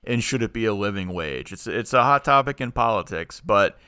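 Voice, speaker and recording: A man's voice: fairly low pitch, lively intonation, fairly quick, sharp tone, clear, resonant tone, no room sound, studio quality. A man co-hosting a podcast in casual, opinionated chat, speaking emphatically and argumentatively and often digressing. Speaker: podcast co-host.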